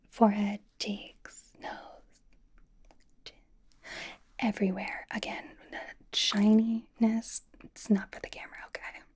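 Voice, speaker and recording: A woman playing a bubbly, nervous young K-pop idol in an ASMR roleplay, whispering softly in a chatty, rambling, reassuring way. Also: medium pitch, close to an everyday voice. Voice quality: soft, breathy whisper.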